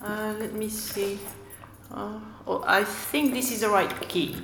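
An actress playing a helpful, slightly flustered exhibition guide, speaking politely and hesitantly in naturalistic audio drama dialogue. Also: female voice, mid-range voice, steady tone, slow, noisy background. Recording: long hall-like reverb.

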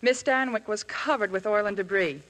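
A woman's voice: mid-range voice, normal speed, a bit bright. A young woman, an actress guest, chatting politely and earnestly in a scripted exchange. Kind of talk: scripted intermission chat.

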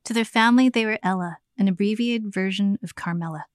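A woman's voice: medium pitch, fast talker, no echo, clean studio sound. A woman with an American accent hosting a podcast, moving between scripted narration and conversational interviewing.

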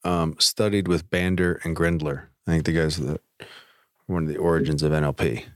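A man's voice: very deep voice, fairly even intonation, moderate pace, no room sound, clean studio sound. A man co-hosting a podcast in casual conversation, informal and a little wry. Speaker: podcast co-host.

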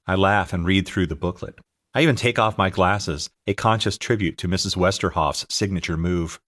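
A middle-aged American man reading his own audiobook as an author and teacher, telling anecdotes in an expressive, scripted style. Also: sits low, moderate pace. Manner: expressive, anecdotal storytelling, acting out dialogue. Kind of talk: scripted narration, audiobook memoir.

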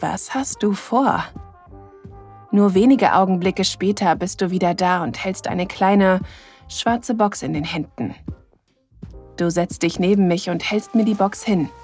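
Audio drama lead, woman: mid-pitched, tender and sensual, eager lover telling the story intimately, light music underneath. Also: a little slow, a little mellow, husky. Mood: curious. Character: affectionate, eager woman in love with her partner. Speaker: narrator and lead character. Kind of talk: intimate second-person audio drama narration.

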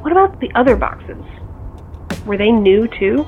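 A woman playing a skeptical, reasonable confidante in an audio drama, measured and wry, heard through a phone filter. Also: on the high side, sing-song. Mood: reasoning. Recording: muffled phone-like line, music behind.

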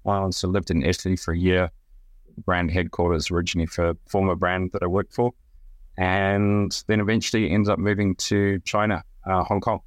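A man's voice: deep voice, little pitch movement, normal speed, dry recording. A middle-aged man with a New Zealand accent, talking casually as a podcast guest and rambling through restarts and fillers. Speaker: guest, brand director.

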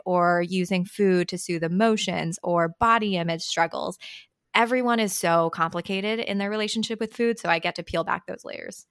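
A woman from Northern California, a dietitian guest on a podcast, explaining her work in an earnest, steady way. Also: lowish voice, no echo, clean studio sound. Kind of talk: podcast interview.

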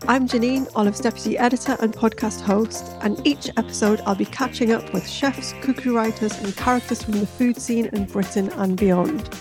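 A woman's voice: a little high, fairly even intonation, fairly quick, hardly any pauses, music in the background. A woman podcast host who reads a scripted intro and then interviews a guest, with a friendly, affirming manner.

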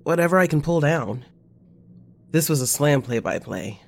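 A man's voice: on the high side, animated, moderate pace, gravelly. A man acting in an audio drama as a laid-back, sweary night-shift worker on a moonbase, talking casually. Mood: relaxed, friendly. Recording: noisy ambience behind the voice.

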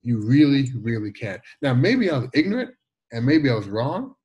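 Brief: Jamaican-accented man, 40s, husky, slight lisp, animated storyteller who restarts his words. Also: medium pitch, normal speed, highs cut off. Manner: animated, repeats words and restarts, laughs easily. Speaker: guest. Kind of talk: conversational storytelling interview.

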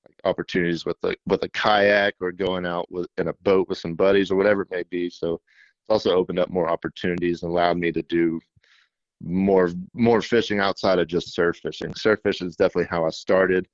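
A shy young man from middle Tennessee, a fishing YouTuber, answering interview questions in a self-described monotone with many fillers. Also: deep voice, brisk, dry recording, lo-fi sound. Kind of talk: casual interview.

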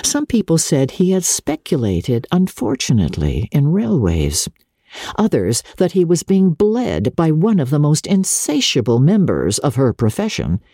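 A woman narrates a classic novel with theatrical expression. Her delivery is measured and slow, with wide melodic movement and a dark, mellow tone. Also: deep voice, breathy edge, no room sound, studio quality. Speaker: audiobook narrator. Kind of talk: dramatic literary narration.

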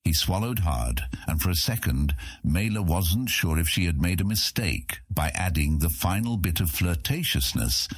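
A man's voice: deep voice, lively intonation, moderate pace, few pauses, slightly rough, no room sound, studio quality. A man narrating scripted fantasy fiction as an audiobook narrator.

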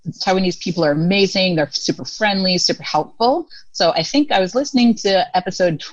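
A woman's voice: medium pitch, brisk, no echo, dull audio. An American woman in her thirties telling stories as a podcast guest, talking casually with lots of fillers and laughs. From United States. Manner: chatty, many fillers, laughs often. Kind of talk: casual storytelling interview.

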